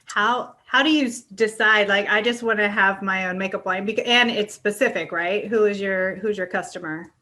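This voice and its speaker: A middle-aged woman hosts a casual interview podcast. Her voice sits at an ordinary pitch for a woman, and she talks in a chatty, informal, conversational way. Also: moderate pace, few pauses, pushed and bright. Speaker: podcast host.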